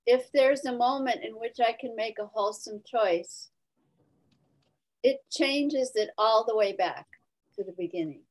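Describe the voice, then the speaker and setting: Female Zen teacher, higher register, very measured pace, reflective and spontaneous, video call. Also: low-quality recording. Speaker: Zen teacher leading a discussion. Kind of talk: spontaneous dharma talk and discussion.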